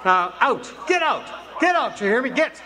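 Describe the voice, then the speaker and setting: A man playing a gruff, hostile, short-tempered bartender in a live radio drama. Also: very high-pitched, very animated, normal speed, bright, forceful tone, some chatter behind, full character voice. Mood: irate. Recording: live stage recording with audience noise.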